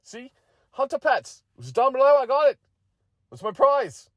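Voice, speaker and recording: Tiny boastful game creature, squeaky high, whiny and exclaiming, comic storytelling. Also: male voice, very animated, normal speed, dry recording, clean studio sound. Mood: gleeful. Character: small excitable needy game champion creature, boastful then wounded.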